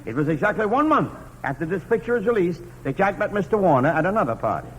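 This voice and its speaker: High-pitched, animated male comedy narrator. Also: quick pace. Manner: mock-grand storytelling with punchlines. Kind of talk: scripted comic biography narration. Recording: noisy vintage radio, audience noise. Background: live audience.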